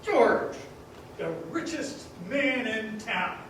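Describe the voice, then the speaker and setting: An actor playing an excited small-town well-wisher in a live stage radio play, declaiming emphatically. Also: male voice, very high-pitched, extremely slow, slight background noise, full character voice. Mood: proud. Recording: echoey theater with crowd, distant mic.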